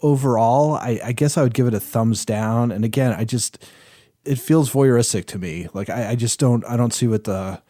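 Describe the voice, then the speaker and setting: A man on a podcast panel giving his opinion casually, in a halting, reflective way. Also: mid-range voice, dark tone, no echo, clean studio sound. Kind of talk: casual spoken review.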